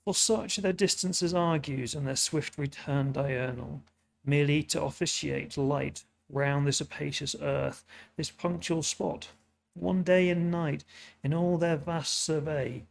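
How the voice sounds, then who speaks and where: An earnest, wondering first man questions the heavens in solemn verse, voiced by a British man reading aloud. The voice is gravelly, hoarse and stuffy, and the delivery is slow and measured. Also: a little high, steady tone. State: sniffly and hoarse.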